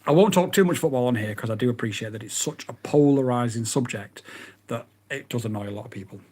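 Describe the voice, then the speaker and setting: Guy hosting a live chat, voice a bit croaky, excitable, chats to viewers. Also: mid-range voice, quick pace. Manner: excitable, chatty, talks to viewers. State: croaky, hoarse voice. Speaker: livestream host. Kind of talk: casual livestream chat.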